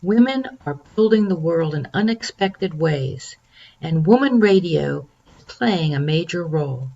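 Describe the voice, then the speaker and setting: A woman from North Carolina reading a lively, upbeat station promo as a listener. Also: deep voice, measured pace, vocal fry, highs cut off. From North Carolina, USA. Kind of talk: read promo.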